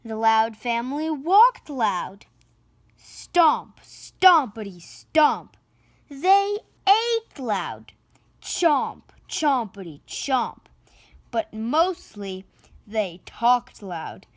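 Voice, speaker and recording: Very slow, expressive woman reading a storybook. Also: a little high, no room sound. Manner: theatrical, emphasises sound words. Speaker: storybook reader. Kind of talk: expressive children's picture book read-aloud.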